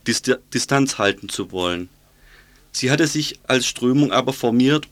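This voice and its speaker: Male radio reporter and interviewer, mid-pitched voice, scripted news delivery, dry studio sound. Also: fairly bright tone, no room sound.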